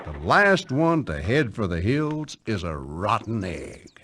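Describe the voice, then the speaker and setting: An actor playing a folksy, wry storyteller with a drawling Southern American accent, narrating a scripted tale. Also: male voice, fairly low pitch, sing-song, slow pace, fairly bright tone, full character voice. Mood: folksy storytelling.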